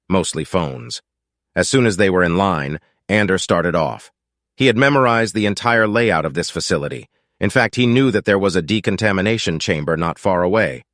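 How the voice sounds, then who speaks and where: A man's voice: lowish voice, a bit bright, dry recording, studio quality. A man reading scripted fiction as an audiobook narrator.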